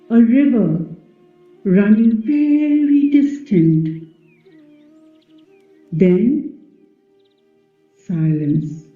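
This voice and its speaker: A woman giving scripted, dramatic narration for a play reading. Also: mid-range voice. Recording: muffled lo-fi, echoey, music underneath.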